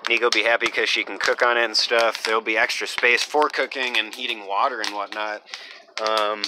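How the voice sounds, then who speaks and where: Young male homesteader, casual vlog rambling, even-toned, noisy camera audio. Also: on the low side, brisk. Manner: rambling, lots of fillers, self-described monotone. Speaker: homesteader vlogger. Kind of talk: casual off-grid build vlog. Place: half-built earthship and outdoor rooftop.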